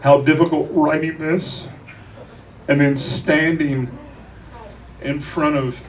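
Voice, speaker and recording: A grieving man delivers an emotional eulogy to a crowd, speaking deliberately, with profanity and wry humor. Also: fairly high pitch, fairly even intonation. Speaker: eulogist at a memorial. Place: crowded bar at a memorial. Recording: noisy live room, distant mic.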